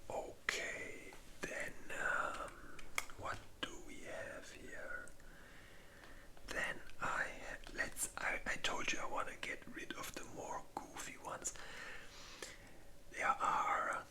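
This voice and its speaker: An ASMR creator speaking entirely in a breathy whisper, listing movies in a halting, informal way.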